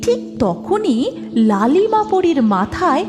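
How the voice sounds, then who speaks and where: High-pitched, lively woman storyteller. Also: slow. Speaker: storyteller narrator. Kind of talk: scripted fairy-tale narration. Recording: long reverb, music behind.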